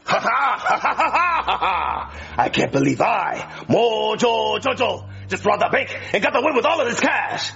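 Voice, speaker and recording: Man playing a high, gravelly cackling monkey villain, wild swoops, lo-fi with crowd noise. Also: big pitch swings, moderate pace, pushed and bright, full character voice.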